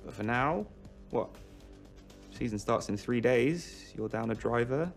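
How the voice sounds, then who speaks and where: An actor playing a probing documentary interviewer who questions people pointedly. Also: male voice, medium pitch, expressive, measured pace, gravelly, soft background music.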